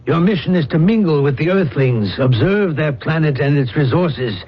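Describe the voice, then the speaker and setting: An actor playing a cool, calculating controller of an alien planet in a radio drama, speaking in a measured, insinuating way. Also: male voice, fairly high pitch, animated, slightly rough. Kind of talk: old-time radio drama acting. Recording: dull, muffled radio drama recording.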